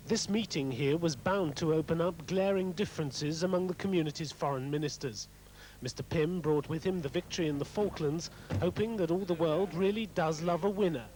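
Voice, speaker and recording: A man's voice: a little high, brisk, darkish tone, a little hiss or hum, rough recording. A man giving a scripted report as a foreign news correspondent.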